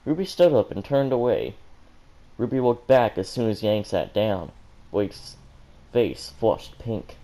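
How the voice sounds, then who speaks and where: A man's voice: lowish voice, expressive, a little slow, dark tone, rough recording. A man reading a story aloud as an amateur, stumbling now and then over words and correcting himself. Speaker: fan fiction reader and commentator.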